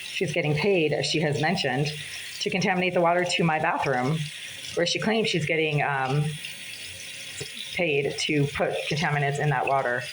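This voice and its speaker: A woman with a raspy voice speaking in a rambling, insistent, accusatory monologue to a phone in her apartment bathroom. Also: low-pitched, moderate pace, noisy background. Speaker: vlogger.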